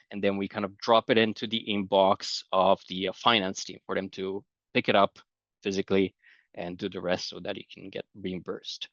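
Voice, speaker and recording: A man's voice: on the low side, no room sound, muffled recording. A middle-aged man with a Romanian accent giving a conversational conference presentation, explaining ideas with everyday anecdotes. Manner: conversational, explanatory, tells anecdotes with light laughs. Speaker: conference speaker.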